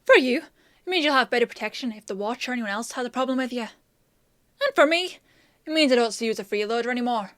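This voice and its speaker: A woman playing a flustered, gruff but well-meaning fantasy apothecary in a scripted audio roleplay, with a hesitant, emotive, conversational delivery. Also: fairly high pitch, animated, quick pace, a bit bright, no echo, studio quality. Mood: brisk, businesslike.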